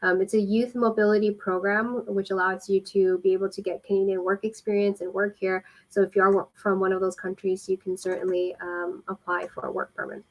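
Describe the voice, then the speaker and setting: A woman working as an immigration consultant, explaining things helpfully and informally in a live question-and-answer style, with frequent pauses. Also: medium pitch, flat delivery, rough recording.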